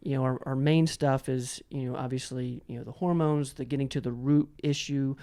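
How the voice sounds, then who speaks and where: A man with a clear, smooth voice in a middling register hosts a casual interview. He talks in a halting, roundabout way, repeating words as he builds his questions. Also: mid-range voice, steady tone, warm tone. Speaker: interview host.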